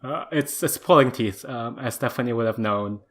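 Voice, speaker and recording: A man's voice: medium pitch, moderate pace, a bit bright. A man from Indonesia co-hosting and editing a casual podcast, reflective and self-deprecating, and he laughs often. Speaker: podcast co-host and editor. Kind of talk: casual conversational podcast chat.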